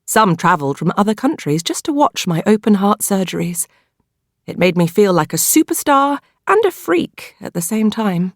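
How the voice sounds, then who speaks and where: A woman with a British accent narrates a novel in the first person, in a measured, expressive storytelling manner. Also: mid-range voice, no room sound, clean studio sound. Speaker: audiobook narrator.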